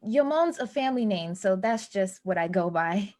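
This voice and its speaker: An actress playing an anxious, plainspoken young building employee in a naturalistic play reading. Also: female voice, medium pitch, expressive, moderate pace, a little mellow, lightly acted. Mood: casual, friendly. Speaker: actor in a staged play reading. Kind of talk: naturalistic stage dialogue reading.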